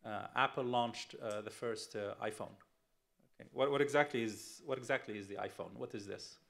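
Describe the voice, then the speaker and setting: A man's voice: on the low side, moderate pace, vocal fry, a bit bright. A middle-aged man from Tunisia, an investment firm chief speaking on a conference panel, emphatic, asking rhetorical questions with frequent fillers.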